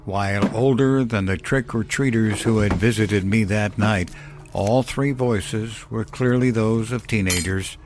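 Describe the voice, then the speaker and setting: A man giving measured, suspenseful first-person narration of a horror story. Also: fairly low pitch, background noise. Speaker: narrator of horror fiction podcast. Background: background music.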